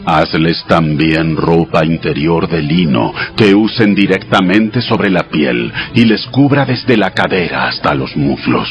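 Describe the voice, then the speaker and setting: A deep, solemn God giving instructions. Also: male voice, animated, measured pace, few pauses, lo-fi sound. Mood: solemn, commanding. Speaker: Bible audio drama voice actor. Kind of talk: dramatized scripture reading. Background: music behind the voice.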